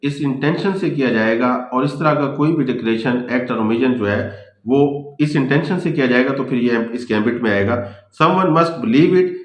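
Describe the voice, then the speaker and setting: A man's voice: mid-range voice, normal speed. A man with a Pakistani accent giving an instructional law lecture, reading legal text aloud and explaining it, mixing in English legal terms. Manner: mixes English legal terms with explanation in his own language. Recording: echoey, reverberant room.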